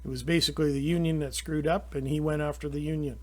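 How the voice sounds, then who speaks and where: A middle-aged Canadian man pours out his opinions in a rambling, indignant monologue. His voice sits a little high for a man, and he often stops and restarts his sentences. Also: fairly even intonation, fast talker. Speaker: channel host.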